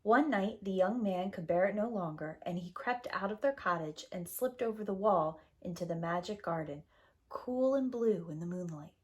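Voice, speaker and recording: A woman storyteller reading a fairy tale to young children in a dramatic, deliberate way. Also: medium pitch, lively intonation. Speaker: preschool teacher, storyteller.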